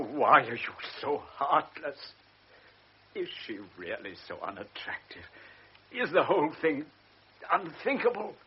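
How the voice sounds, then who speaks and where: Old sick baron begging for his daughter, high and wobbly, muffled old radio sound. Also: slight background noise. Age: older. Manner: pleading, emotional, wavering. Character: old ailing baron, pleading anxious father. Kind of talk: 1960s radio drama acting. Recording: dull lo-fi radio broadcast.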